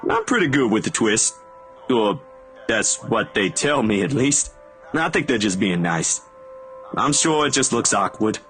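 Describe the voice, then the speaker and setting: A man's voice: mid-range voice, sing-song, unhurried, a bit bright. An actor playing a kind, slightly awkward young guy in a visual novel, informal and slangy. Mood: modest, easygoing. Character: Zachary, awkward, kind, laid-back casual young guy. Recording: music playing underneath. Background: game music.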